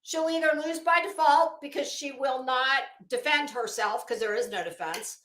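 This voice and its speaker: Middle-aged female commentator, high-pitched, sarcastic and dramatic, casual delivery, recorded in a roomy space. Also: fairly bright tone, a little hiss or hum. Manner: sassy, sarcastic, dramatic emphasis with drawn-out words. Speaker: host of an online commentary show. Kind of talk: casual, opinionated commentary to camera. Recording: roomy, echoing sound.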